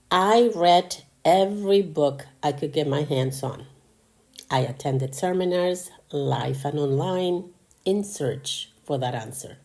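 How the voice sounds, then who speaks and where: A woman's voice: low-pitched, darkish tone. An older woman from Argentina with a Spanish accent, hosting a podcast in an earnest, personal, motivational monologue. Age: 60s or older. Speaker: podcast host.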